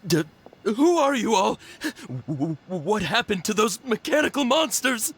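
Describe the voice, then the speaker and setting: A man's voice: high-pitched, very animated, unhurried, fairly bright tone, a little hiss or hum, heavily acted. A man voicing a fearful, distrustful herb gatherer in a video game, agitated and stammering. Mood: frightened. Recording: game music behind.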